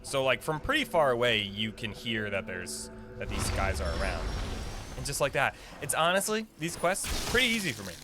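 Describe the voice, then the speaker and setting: A man's voice: mid-range voice, big pitch swings, moderate pace, over music. A young man giving casual live gameplay commentary, thinking aloud in a slangy, playfully cocky way. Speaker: gaming video creator giving a quest guide.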